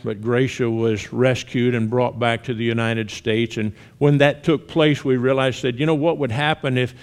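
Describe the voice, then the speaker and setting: A man in his sixties with a Southern US accent, talking plainly and conversationally as a missionary guest speaker at a church, with some gentle humor. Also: medium pitch, clear, resonant tone. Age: 60s. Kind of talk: church testimony talk.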